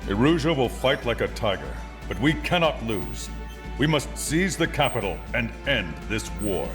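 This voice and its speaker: An actor playing a resolute military commander, giving a scripted mission briefing in a firm, authoritative way. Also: male voice, medium pitch, big pitch swings, moderate pace, natural voice. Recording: loud music behind the voice.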